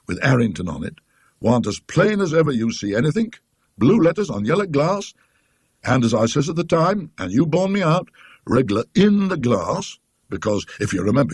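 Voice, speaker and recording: A man acts out a working-class British tram conductor in a storybook ghost tale. He is talkative and indignant yet deferential, rambling emphatically in a high-lifted, husky voice that jumps about. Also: a little mellow, no room sound, studio quality, exaggerated voice.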